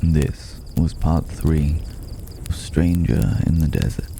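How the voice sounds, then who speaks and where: A man's voice: very deep voice, slow, vocal fry, mellow, a little hiss or hum. A man giving a scripted sign-off as a voice performer reading the credits. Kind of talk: slow scripted sign-off narration. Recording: faint cricket ambience behind.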